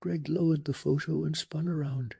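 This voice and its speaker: A man softly narrating a bedtime story in a calm, hushed ASMR style, telling it with deliberate drama. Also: on the high side. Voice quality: soft, breathy.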